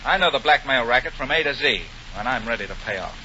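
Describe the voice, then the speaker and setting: An actor playing a hot-tempered, sarcastic bachelor with a bossy manner in a 1940s radio comedy. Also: male voice, mid-range voice, lively intonation, fast talker, pushed and bright. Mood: sarcastic, suspicious. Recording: noisy, muffled 1940 radio broadcast.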